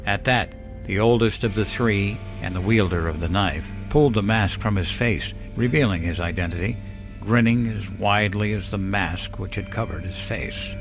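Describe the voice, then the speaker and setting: Low-voiced man narrating horror. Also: moderate pace. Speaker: narrator of horror fiction podcast. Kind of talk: dramatic first-person scripted narration. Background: background music.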